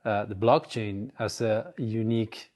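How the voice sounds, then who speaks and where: Mellow-voiced man asking a hesitant question. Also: fairly low pitch, unhurried. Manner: searching, hesitant, rephrases. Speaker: interviewer. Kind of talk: interview question.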